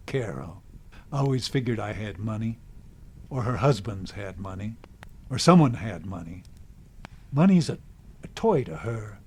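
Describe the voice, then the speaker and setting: A man's voice: lowish voice, very animated, measured pace, pauses often, darkish tone, husky, full character voice. An actor playing a cranky, world-weary old man in the woods, with a folksy, grumbling manner, in a radio drama. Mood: bitter, grumbling.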